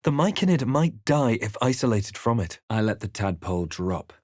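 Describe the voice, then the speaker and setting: Brief: resolute RPG hero, lowish voice, measured dramatic delivery, brooding game narration, very dry studio sound. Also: male voice, big pitch swings, a little mellow, no room sound. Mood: grave narrating. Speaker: video game voice actor. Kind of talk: scripted video game character lines and narration.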